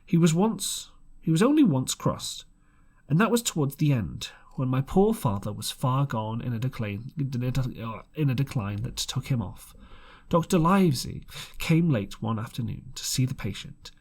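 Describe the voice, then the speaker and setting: A man reading an adventure storybook aloud in an expressive amateur style, dramatic and slightly halting. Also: mid-range voice. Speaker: audiobook reader.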